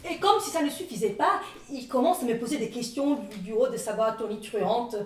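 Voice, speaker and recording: A woman's voice: on the high side, brisk. A woman from northern Italy with an Italian accent, performing a dramatic, comic first-person theatrical monologue. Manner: dramatic, expressive delivery. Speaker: stage actress performing a one-woman show. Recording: echoey room, distant microphone.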